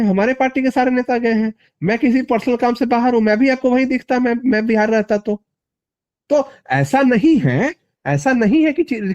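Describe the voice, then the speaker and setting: Male political interviewee on a phone call, high voice, insistent and repetitive for stress. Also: darkish tone. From Bihar, India (Magadh region). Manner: emphatic, repeats phrases for stress. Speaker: party politician being interviewed.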